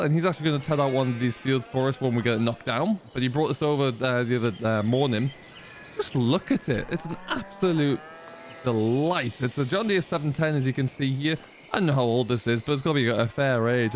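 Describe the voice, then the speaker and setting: A man's voice: medium pitch, slight background noise. A man hosting a farming roleplay gameplay video, chatting casually and explaining things as he thinks aloud. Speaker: gaming video host, playing a tenant farmer.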